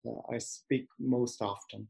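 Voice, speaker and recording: A man from western Canada, a podcast guest, answering in casual talk with short replies and halting restarts. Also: medium pitch, steady tone, creaky, dark tone.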